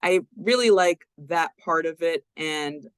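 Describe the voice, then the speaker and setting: A woman talking as a guest on a show, slowly and in a fairly even, somewhat monotone voice. She hesitates, using fillers and correcting herself as she goes. The sound is dry, with no room echo. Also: low-pitched, clear, resonant tone. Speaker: guest speaker. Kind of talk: casual interview talk.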